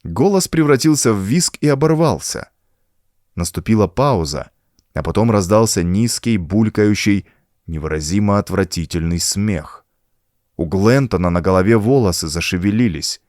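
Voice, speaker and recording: A man's voice: lowish voice, lively intonation, normal speed, a little mellow, no room sound, clean studio sound. A man reading a horror story as an audiobook narrator, in a dramatic scripted style.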